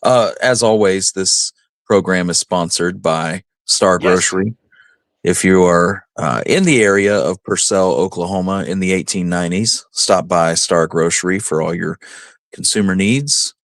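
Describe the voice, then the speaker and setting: A man's voice: sits low, normal speed, no room sound, clean studio sound. A man co-hosting a live show in casual chat, with an easygoing, folksy way of addressing listeners. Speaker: co-host reading out listener comments.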